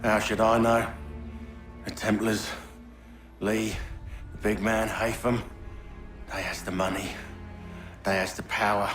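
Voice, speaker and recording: An actor playing a cynical, sneering mercenary thug in a video game, with a taunting manner and rough, colloquial speech. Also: male voice, fairly low pitch, level tone, slow pace, pauses often, fairly bright tone, faint music behind. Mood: bitter, indifferent. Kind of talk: dramatic video game acting.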